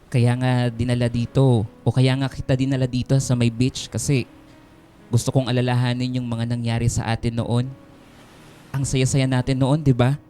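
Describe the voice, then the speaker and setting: An actor playing an insecure, devoted young boyfriend in a radio drama, speaking earnestly and hesitantly. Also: male voice, medium pitch, little pitch movement, moderate pace, dark tone, close to an everyday voice. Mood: wistful. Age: young adult. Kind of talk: Filipino radio drama dialogue. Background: background music.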